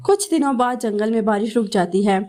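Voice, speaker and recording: A woman's voice: fairly high pitch, a little fast, warm tone. A woman giving scripted narration of a children's moral story.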